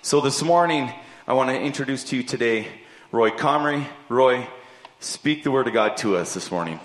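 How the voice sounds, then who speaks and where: A man introducing a visiting missionary at a church service in a formal, warm way. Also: medium pitch, animated, normal speed, echoey room. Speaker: church leader introducing the speaker.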